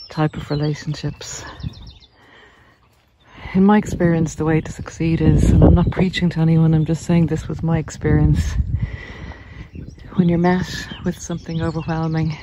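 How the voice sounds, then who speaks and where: A speaker talking gently and reassuringly in a reflective walk-and-talk vlog, with unhurried pauses. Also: mid-range voice, flat delivery. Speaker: narcissistic abuse recovery coach. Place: outdoors on a country walk. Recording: outdoor noise, birdsong behind. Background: birdsong, outdoor noise.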